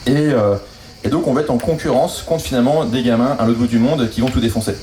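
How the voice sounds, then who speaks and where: A man's voice: medium pitch, quick pace, warm tone. A man giving an informal talk in blunt, colloquial language. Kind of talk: informal talk on business trends. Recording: echoey room, faint background noise.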